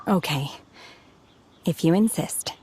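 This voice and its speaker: A woman's voice: low voice, takes it slowly, a little mellow, a little hiss or hum. A woman playing a reserved, austere, stoic young woman in a video game, speaking in a restrained, terse and solemn way. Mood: weary, reserved. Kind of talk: animated game cutscene acting.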